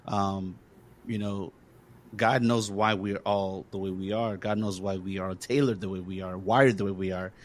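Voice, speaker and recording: Earnest low-voiced male podcast host. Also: fairly even intonation, normal speed. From Congo. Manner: earnest, encouraging, with many fillers. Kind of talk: casual conversation.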